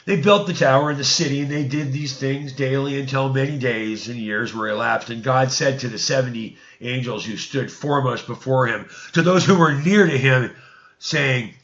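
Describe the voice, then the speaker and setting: A dull, lo-fi recording of a man with a mid-pitched voice reading old scripture aloud. He narrates deliberately, like a storyteller, and now and then trips over a word. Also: a bit bright. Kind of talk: read-aloud narrative of an ancient religious text.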